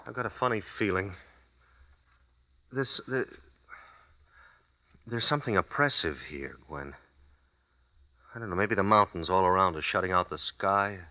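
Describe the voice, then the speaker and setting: A nervous American writer talks in a low, expressive voice, stumbling over his words. His American accent stays plain and easy. It comes through a dull, lo-fi old radio recording. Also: measured pace, long pauses. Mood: uneasy. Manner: hesitant, stammering, uneasy. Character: American writer husband, uneasy, young adult man. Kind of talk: old-time radio drama acting.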